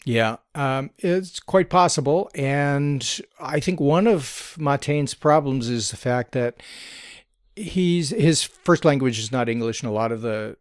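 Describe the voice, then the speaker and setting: Man, podcast co-host, measured explainer, middle pitch, dry close sound. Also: clear, resonant tone, dry recording. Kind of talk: casual tech podcast chat, reading listener email.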